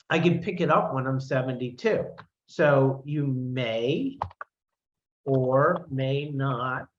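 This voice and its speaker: A man in his sixties with a medium-pitched voice gives an informal, explanatory advisory talk. He is conversational and emphatic, circling back on phrases to drive them home. The audio is a little dull and muffled. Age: 60s. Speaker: financial advisor.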